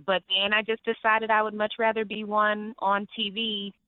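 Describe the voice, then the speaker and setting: A woman's voice: medium pitch, moderate pace, clear, resonant tone, no echo. Woman telling stories over the phone. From Atlanta, Georgia. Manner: lively storytelling, laughs often. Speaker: actress, interview guest. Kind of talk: interview on the phone. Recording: tinny phone line, dry.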